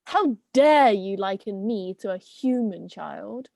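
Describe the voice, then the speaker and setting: A woman's voice: medium pitch, lively intonation, slow, creaky, a little mellow, no echo. A woman playing a pompous, theatrical talking goat, indignant and wordy, in an amateur myth play reading. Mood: offended.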